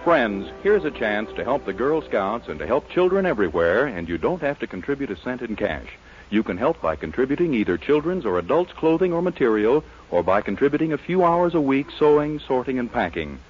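An American man announces in scripted 1940s radio style, his pitch swinging in a lively, animated way. The sound is a band-limited vintage broadcast, with prominent music behind him. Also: brisk, husky, lo-fi sound.

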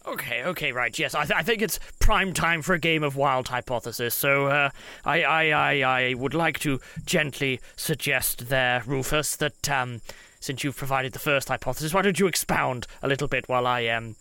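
A man's voice: mid-range voice, moderate pace, pushed and bright, no echo. A man playing a polite, eccentric scientist who rambles hesitantly in an improvised tabletop game. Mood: earnest, rambling. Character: fussy, polite, eccentric male scientist investigator. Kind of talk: improvised tabletop role-play.

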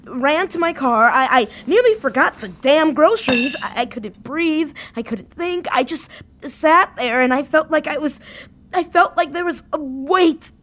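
A woman playing an anxious, grief-stricken young woman in an audio drama, speaking haltingly and repeating words. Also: high-pitched, lively intonation, fairly bright tone, slight background noise. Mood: distraught. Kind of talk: naturalistic audio drama acting.

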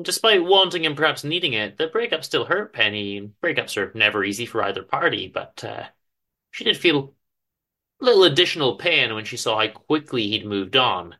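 Bright-voiced man reading a story. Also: medium pitch. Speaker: author and reader of a story. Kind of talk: dramatic reading of fiction.

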